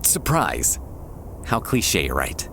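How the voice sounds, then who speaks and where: A man playing a shy, tender young man confessing love to a childhood friend, hesitant and soft-hearted, in an intimate ASMR roleplay. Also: low voice, huge pitch swings, creaky, a little hiss or hum, heavily acted. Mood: fond, nostalgic. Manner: soft-hearted, hesitant, halting with nervous chuckles. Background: quiet park ambience.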